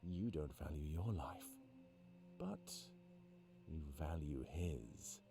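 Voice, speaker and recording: A man voicing a sinister, ruthless anthropomorphic interrogator who makes deliberate, taunting threats in a visual novel. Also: very deep voice, very animated, vocal fry, mellow, soft background music, pitched below the performer's own voice, heavily acted. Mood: demanding, threatening.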